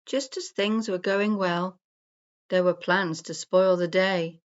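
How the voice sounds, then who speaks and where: A woman storyteller reading a rhyming children's story aloud in a measured, singsong rhythm. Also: on the low side, steady tone, smooth, muffled recording.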